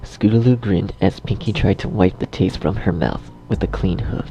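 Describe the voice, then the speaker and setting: A man's voice: sits low, normal speed, dark tone, low-quality recording. A man narrating a scripted horror story dramatically, as its storyteller. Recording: faint music behind the voice.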